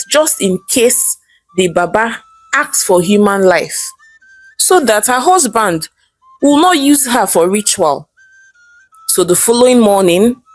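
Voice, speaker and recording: A Nigerian woman narrates a folktale with a lively, swinging pitch. She is expressive and theatrical in her storytelling. Soft music plays underneath her voice. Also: dry recording, poor audio. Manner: expressive, acts out characters' dialogue.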